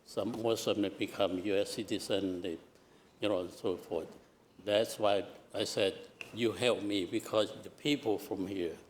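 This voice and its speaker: A man's voice: lowish voice, fairly even intonation, a little hiss or hum. An older man from southern Laos with a Lao accent, speaking hesitantly in farewell remarks at a public meeting. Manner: halting, hesitant, restarts phrases. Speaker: retiring commissioner. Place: council meeting chamber.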